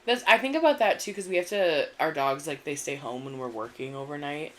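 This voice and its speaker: Female podcast co-host with a lower, very lively voice, chatty storyteller. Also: brisk. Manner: animated storyteller, very expressive pitch. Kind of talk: casual chat.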